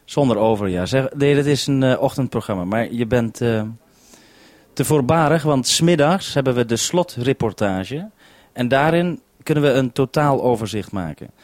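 Man, from the Netherlands, radio host, middle pitch, dry close sound, two-way link talk, ends turns with "over". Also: expressive, normal speed, darkish tone, no room sound. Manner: says "over" to hand back.

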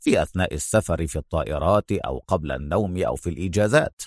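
A man voicing a stern, stubborn military officer hero in a story, speaking in a firm, measured, wry way. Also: sits low, lively intonation, darkish tone, no echo, studio quality, voice pushed down. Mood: calm, wry.

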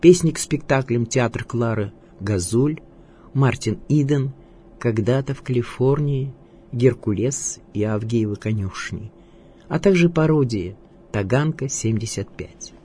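A man reading scripted radio documentary narration in a measured, declamatory way. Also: mid-range voice, dark tone, a little hiss or hum.

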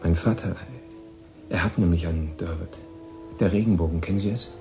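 A man's voice: low-pitched, fairly quick, darkish tone. An actor playing a guarded, lonely American runaway teenage boy in a German radio drama. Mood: wistful, reflective. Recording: music behind the voice.

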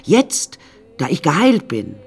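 A woman's voice: sits low, wildly swooping pitch, takes it slowly, husky, full character voice. An actress playing a vain, deluded middle-aged woman artist in an asylum, delivering a theatrical, self-important radio play monologue. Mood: self-satisfied, persuasive.

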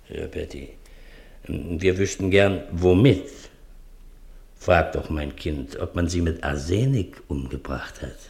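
An actor playing a domineering, shady seance master, commanding and coaxing, in a 1950s German radio play. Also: male voice, deep voice, darkish tone. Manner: commanding, slow coaxing turning brusque. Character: domineering, shady man running a child medium's seance.

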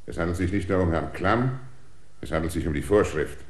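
An actor playing a formal, officious official who insists on the rules in a radio play. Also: male voice, low voice, brisk, echoey room.

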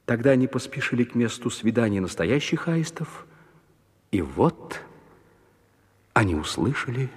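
Рассказчик сказки с гулкой реверберацией. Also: male voice, medium pitch, big pitch swings, moderate pace, pauses often, husky, long reverb tail. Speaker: narrator. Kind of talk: expressive scripted fairy-tale narration.

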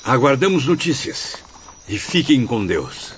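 An actor playing a humble, courteous, devout male spirit in a radio drama, with a Brazilian accent and an expressive, formal delivery. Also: mid-range voice, unhurried, slight background noise. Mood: warm farewell. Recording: dull lo-fi audio, night insects behind.

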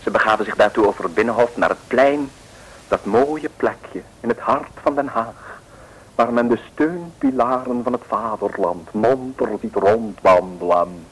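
A man's voice: medium pitch, measured pace, husky, low-quality recording. A man narrating an old radio serial in a wry, ornate storytelling manner. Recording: old 1950s radio recording.

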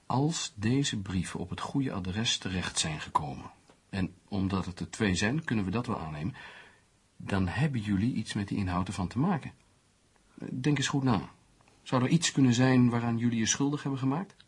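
Low-voiced skeptical journalist, husky. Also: male voice, lively intonation, normal speed. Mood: pondering, analytical. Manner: sardonic, reasoning aloud. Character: skeptical, teasing male newspaper journalist, analytical amateur sleuth. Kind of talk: Dutch radio play acting.

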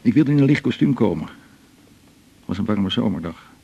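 An actor playing a melancholy man in his forties in a radio drama, with a gravelly, husky voice, confiding in a halting, reflective way. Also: lowish voice, expressive, dark tone, highs cut off, full character voice. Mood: pained remembering. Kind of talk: naturalistic radio drama dialogue.

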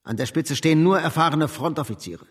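Husky, hard military-minded commander. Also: male voice, on the high side, animated, brisk, a bit bright. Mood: boastful, confident. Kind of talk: German radio drama acting.

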